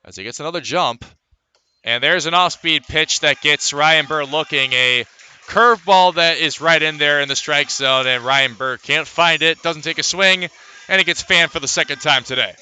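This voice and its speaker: A man's voice: on the high side, normal speed, pushed and bright, a little hiss or hum. An American man calling a baseball game as a live radio play-by-play broadcaster. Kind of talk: live radio sports commentary.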